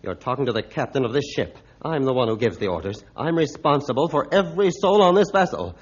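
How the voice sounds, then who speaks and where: An actor playing a stern, authoritative ship's captain in a 1950s radio drama, speaking formally and emphatically. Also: male voice, medium pitch, expressive, fast, natural voice. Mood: firm. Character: stern, commanding male ship's captain, scornful of fools. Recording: dull, lo-fi old radio recording.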